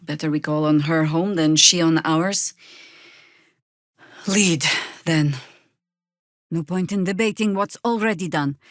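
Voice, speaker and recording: An actress playing a seasoned, sardonic older female druid warrior in a fantasy video game, wry, commanding and deliberate. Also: sits low, dry recording, clean studio sound.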